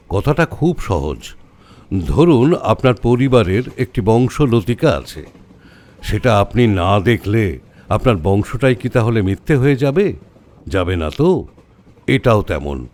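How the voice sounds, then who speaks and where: Assured older tantra scholar in audio drama, measured n didactic, very lively pitch swings. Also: male voice. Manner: measured, didactic, uses rhetorical questions. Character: calm, learned older male tantra scholar, assured and explanatory. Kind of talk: Bengali audio horror story acting.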